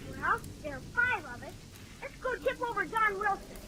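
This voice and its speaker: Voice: very high voice, very animated, moderate pace. A performer playing an eager, polite, cheeky little kid in a 1940s radio comedy. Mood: mischievous. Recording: noisy old 1940s radio recording.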